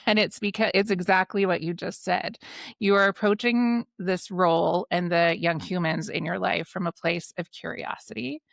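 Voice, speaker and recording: A woman in her forties from Canada, hosting a podcast with enthusiastic, animated storytelling. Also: fairly low pitch, normal speed, vocal fry, no echo. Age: 40s. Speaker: podcast host. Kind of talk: conversational interview.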